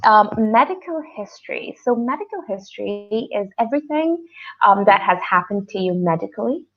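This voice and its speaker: Female webinar teacher from Pakistan, medium pitch, informal and a little hesitant, lo-fi call sound. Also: expressive, normal speed. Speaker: English teacher co-hosting a webinar. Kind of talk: online lesson, informal explaining. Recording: dull, lo-fi online call audio.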